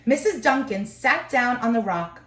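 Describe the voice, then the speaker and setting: A woman with a fairly high voice reads a children's storybook aloud. She is expressive and adds small asides for her listeners. The room around her is clearly echoey. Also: slight background noise. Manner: expressive, pausing to comment to listeners. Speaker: storybook reader. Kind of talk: children's picture book read-aloud with asides.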